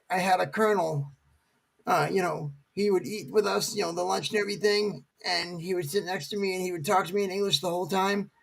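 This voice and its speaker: Middle-aged man, gravelly voice, fairly high pitch, rambling veteran guest. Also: poor audio. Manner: rambling, many fillers and restarts. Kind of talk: casual talk show chat.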